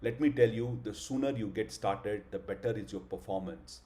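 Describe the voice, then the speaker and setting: A man's voice: fairly low pitch, normal speed, mellow. A middle-aged man giving a live online class, earnest, with many hesitant fillers and rhetorical questions. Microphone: video call.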